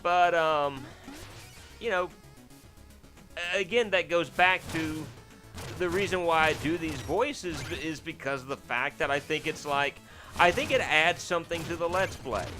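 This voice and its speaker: A man talks over his game in a loose, rambling way, circling back on himself with lots of "um"s and self-deprecating asides. His voice is fairly deep yet bright and forceful, with sudden startled yelps when something in the game catches him out. Game music plays faintly underneath. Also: measured pace. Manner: sudden startled exclamations while playing. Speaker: let's play host. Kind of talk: casual gameplay commentary.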